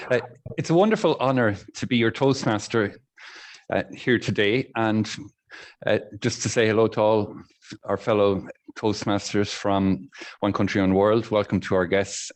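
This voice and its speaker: A man, the meeting's master of ceremonies, greeting everyone politely and formally before opening the meeting. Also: lowish voice. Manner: polite, formal, lots of "uh" fillers. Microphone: video call audio.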